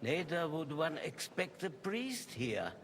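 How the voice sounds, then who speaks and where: An actor playing a dignified medieval rabbi in a video game, speaking in a formal, deliberate and measured way. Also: male voice, on the high side, very animated, gravelly, fairly bright tone, slight background noise, full character voice. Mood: calm, courteous. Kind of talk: video game dialogue acting.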